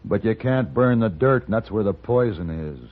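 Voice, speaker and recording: An actor playing a cool, courtly Western gunfighter in a 1950s radio drama, speaking in a measured, formal way with dry irony. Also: male voice, on the low side, expressive. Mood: coolly authoritative. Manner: measured, formal, dryly ironic. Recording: muffled, narrow old-time radio recording.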